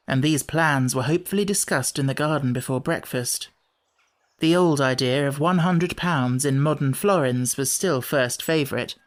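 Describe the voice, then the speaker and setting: A woman reading a children's storybook as scripted narration. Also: low voice. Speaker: audiobook narrator.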